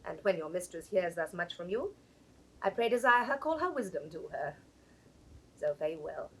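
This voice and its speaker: A woman's voice: fairly low pitch, lively intonation, moderate pace, slightly rough. Imperious, scheming noblewoman with sweeping intonation. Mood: cold, commanding. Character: cold, scheming noblewoman villain, imperious and ruthless. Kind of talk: classical Shakespearean stage acting. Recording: faintly noisy 1960s studio drama recording.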